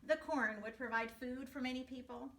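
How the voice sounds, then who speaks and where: A woman's voice: high-pitched, moderate pace. An older woman, a first grade teacher, reading a storybook aloud to young children expressively, with explanatory asides. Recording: echoey room, distant microphone.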